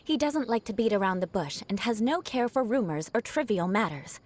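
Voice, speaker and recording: An actress voicing a proud young noblewoman knight in a video game, with a haughty, formal, theatrical manner and a vengeful streak over a soft heart. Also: fairly high pitch, animated, fairly quick. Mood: reflective, amused. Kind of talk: video game character voice lines. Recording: music behind the voice. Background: background music.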